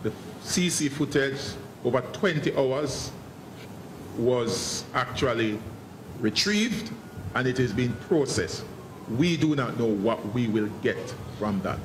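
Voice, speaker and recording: A man speaking as a senior police investigator at a press briefing, guarded and formal, often halting and repeating words. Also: mid-range voice, expressive, roomy sound. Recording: noisy online press briefing audio.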